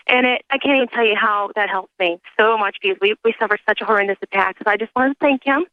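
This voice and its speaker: A woman calling in to a radio show, talking in a hurried, earnest way and jumping between points with many "um"s. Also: medium pitch, no room sound. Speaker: caller. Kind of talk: call-in phone talk. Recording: tinny phone line.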